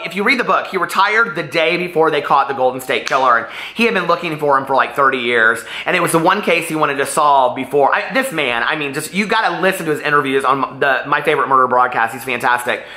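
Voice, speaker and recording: A man's voice: fairly high pitch, quick pace, few pauses, bright, forceful tone. A man talking casually to viewers as a reviewer, in a gushing, rambling and emphatic way. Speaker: book reviewer vlogger. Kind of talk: casual chatty book review to camera. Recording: roomy, echoey room sound.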